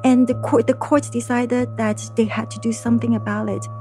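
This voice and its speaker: A woman's voice: on the high side, level tone, fairly quick, music in the background. A woman from Hong Kong, a political scientist, giving casual, explanatory interview answers.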